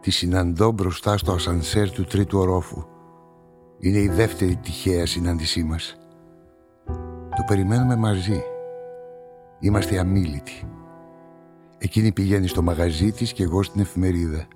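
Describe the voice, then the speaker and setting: An actor as a deep-voiced, brooding male narrator. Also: fairly even intonation, long pauses, over music, close to an everyday voice. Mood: wistful. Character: brooding, solitary male newspaperman narrating a murder story. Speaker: narrator and protagonist of a radio play. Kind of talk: literary first-person radio drama narration.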